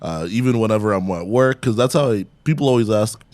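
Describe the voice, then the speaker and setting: A man's voice: lowish voice, lively intonation, quick pace, darkish tone, no room sound. A young man, talking casually as an interview guest and often chuckling as he speaks. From Canada. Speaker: stand-up comedian, interview guest.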